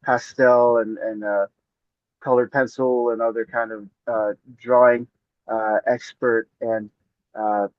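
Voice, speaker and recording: A man from northern Maine, a poet guest, answering questions in a measured, hesitant way with many pauses. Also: medium pitch, flat delivery, no echo, highs cut off. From northern Maine, United States. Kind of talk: interview answers.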